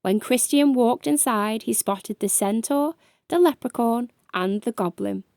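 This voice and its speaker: Slow, high-pitched woman reading a bedtime story. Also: animated, gravelly, a little mellow, dry recording. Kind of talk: children's bedtime story read aloud.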